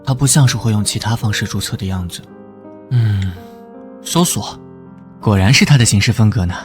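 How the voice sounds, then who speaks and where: An actor playing a warm-hearted, easily flustered young male detective in an animated drama, fretting and scolding himself. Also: fairly low pitch, moderate pace, soft background music, lightly acted. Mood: tender, hesitant. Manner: expressive, fretful, self-scolding. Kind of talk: animated drama dialogue and inner monologue.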